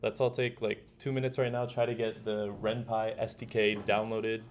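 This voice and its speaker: A young man leading a casual student software tutorial as instructor. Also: on the low side, little pitch movement, normal speed. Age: young adult. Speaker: student club workshop instructor. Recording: faint background noise.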